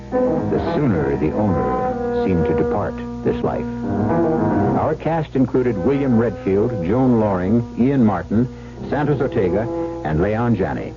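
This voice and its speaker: Low, lively man narrating over music. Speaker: host and narrator. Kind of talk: dramatic scripted radio mystery narration. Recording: muffled vintage radio recording, loud music behind. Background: prominent music.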